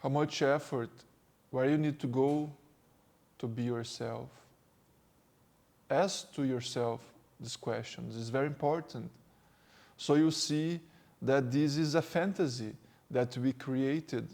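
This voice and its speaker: A man from Brazil with a Brazilian Portuguese accent, speaking English as a second language and giving a calm spiritual talk as a teacher, slow and gentle with pauses. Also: medium pitch, creaky. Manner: slow, gentle, with pauses and non-native phrasing. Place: sitting indoors.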